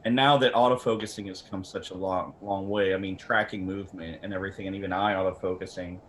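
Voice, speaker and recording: A man with a fairly low voice gives casual expert advice on a panel. He is blunt and rambles, doubling back on his words, over a clearly noisy call recording. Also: steady tone, fast talker, fairly bright tone. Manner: blunt, rambling, repeats words mid-sentence. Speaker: camera company representative on a panel. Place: online video meeting from a room.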